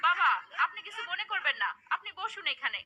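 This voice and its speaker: Lady doing a bossy cartoon mum, extremely high piercing voice, gullible n dramatic. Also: big pitch swings, a little fast, pushed and bright, full character voice. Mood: eager. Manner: pushy, dramatic. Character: gullible, greedy, bossy wife and mother. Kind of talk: cartoon character dialogue.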